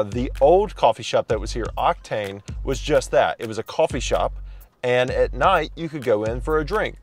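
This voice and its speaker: A man in his thirties, loud and chatty, reviewing a coffee shop from inside a parked car and talking to a phone camera held at arm's length. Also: medium pitch. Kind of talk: casual vlog review.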